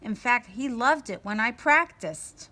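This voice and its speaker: A woman reading a children's story aloud in an expressive manner, acting out the dialogue. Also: a little high, measured pace. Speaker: story reader.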